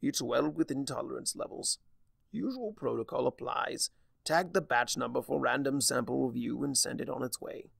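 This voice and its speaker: A man's voice: mid-range voice, moderate pace, creaky, a bit bright, slightly rough, no echo, exaggerated voice. A man voicing a businesslike male changeling overseer who talks curtly and without concern in a story reading. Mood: dismissive. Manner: curt, indifferent, official.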